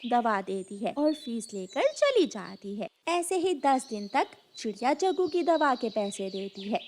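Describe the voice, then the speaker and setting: A woman's voice: on the high side, slight background noise. A woman narrating a scripted children's story.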